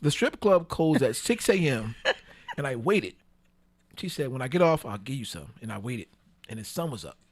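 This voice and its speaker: Animated man telling bawdy stories. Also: medium pitch, normal speed. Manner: joking, crude. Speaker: podcast guest. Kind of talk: casual bawdy storytelling.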